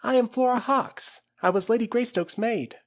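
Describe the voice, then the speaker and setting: A man reading an adventure novel aloud, voicing a frightened young woman who was once a lady's maid, in a high, pleading voice. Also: moderate pace. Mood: startled.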